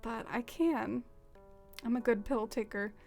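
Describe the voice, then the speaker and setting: A woman's voice: on the high side, a little slow, vocal fry, darkish tone, soft background music. A young woman from the American South with a Southern accent, chatting casually and self-deprecatingly while streaming a game. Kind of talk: casual live-stream chat while gaming.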